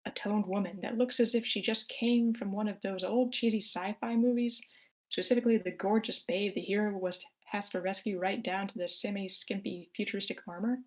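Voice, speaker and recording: A woman narrates a screenplay in a live online table read. Her voice sits at a medium pitch as she reads out scripted action. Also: fairly even intonation, brisk, low-quality recording. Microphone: remote online meeting.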